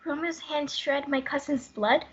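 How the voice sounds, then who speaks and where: High-voiced Juliet played by a woman, muffled. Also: measured pace. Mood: shocked. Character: Juliet, devoted, anguished young bride. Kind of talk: student readers theatre performance. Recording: muffled, noisy lo-fi home recording.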